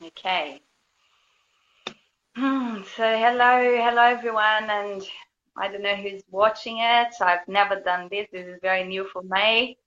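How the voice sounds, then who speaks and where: A woman from Brazil with a Brazilian accent, a guest talking casually about her healing work, gently searching for words. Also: mid-range voice, fairly even intonation, a little slow. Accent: Brazilian Portuguese. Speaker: guest, yoga and healing teacher. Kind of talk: casual conversation. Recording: dull lo-fi audio with faint background hiss.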